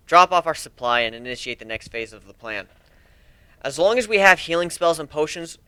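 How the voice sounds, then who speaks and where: A man giving an expressive, wry dramatic reading of a first-person story as an amateur narrator. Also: mid-range voice, clean tone, no room sound.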